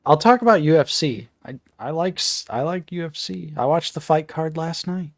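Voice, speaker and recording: A thirty-year-old man co-hosting a casual live stream, quick to laugh and tease with dry sarcasm. His voice sits a little higher than most men's. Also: no room sound. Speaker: co-host of a toy collecting live stream.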